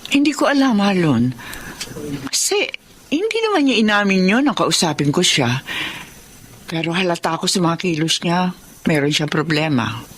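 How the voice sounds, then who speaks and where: A woman's voice: lowish voice, sing-song, moderate pace, long pauses, a little hiss or hum. An actress playing a kindly older Filipino employer in a Tagalog radio drama, caring and motherly. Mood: worried.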